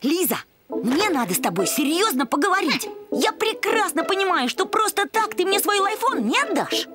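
Excitable high-pitched cartoon boy. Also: normal speed, over loud music. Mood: persuasive. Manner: whiny, pleading, emphatic. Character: excitable, boastful, pleading young boy, football fan. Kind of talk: animated cartoon voice acting.

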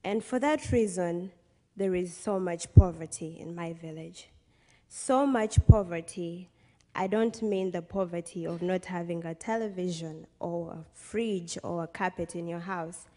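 A woman's voice: lowish voice, measured pace, dark tone. A young woman with a Ugandan accent giving an earnest, emphatic personal speech to an audience from a podium. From western Uganda. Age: young adult. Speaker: guest speaker, college student. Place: stage in a large hall. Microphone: podium microphone. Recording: long hall reverb from podium PA.

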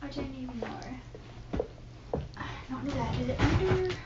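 A woman's voice: high voice, normal speed. A young woman vlogging while she tidies her bedroom, chatty and rambling, mock-dramatic about small things. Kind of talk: casual clean-with-me vlog chatter. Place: carpeted bedroom, indoors. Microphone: phone camera set across the room. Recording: echoey bedroom, dull phone audio with steady hiss.

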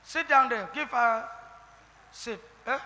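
A man with a Nigerian accent leading a deliverance session as a prophet, firing repeated questions and breaking into shouted decrees in a large church hall on a handheld mic. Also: very high-pitched, slow pace. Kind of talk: deliverance prayer ministration. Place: large church hall indoors with a seated congregation. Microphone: handheld microphone. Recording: long reverb of a church hall.

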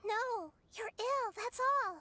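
A woman voicing a gentle, wise little girl god in a video game, earnest and measured. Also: extremely high pitch, loud music behind the voice. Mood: earnest pleading. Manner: earnest, soft, compassionate, measured.

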